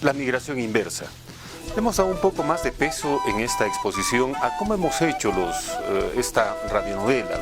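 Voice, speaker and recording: Peruvian guy explaining stuff in a presentation, repeats himself a bit, loud instrumental music behind him. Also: medium pitch. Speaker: radio administrator presenting a project. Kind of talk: spoken presentation.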